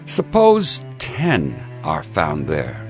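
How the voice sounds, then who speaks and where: A man's voice: extremely low pitch, huge pitch swings, extremely slow, soft background music, exaggerated voice. A man playing a humble old biblical patriarch, beseeching and deferential in a dramatized scripture reading. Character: humble old patriarch Abraham, reverently bargaining with God.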